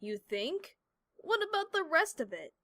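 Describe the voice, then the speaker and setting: A woman plays a brash young pony heroine from an audio drama. Her voice is high and sharp, recorded dry, and she sounds sarcastic, teasing and impatient. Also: sing-song, unhurried, no room sound, heavily acted. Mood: exasperated. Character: brash, sardonic young female pony adventurer. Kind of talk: audio drama character acting.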